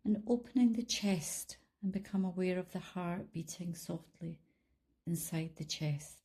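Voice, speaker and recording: Calm woman doing a guided meditation, soft mellow tone, really slow, lots of space between phrases. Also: fairly low pitch, breathy edge. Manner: calm, soothing, unhurried, with long pauses. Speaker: meditation guide, life coach. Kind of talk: guided body scan meditation, instructional.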